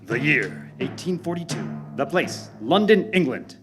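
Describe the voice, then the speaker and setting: A man's voice: fairly high pitch, very animated, unhurried, soft background music. A man playing a grandly dramatic old-time radio announcer, reading scripted narration with theatrical weight. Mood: portentous.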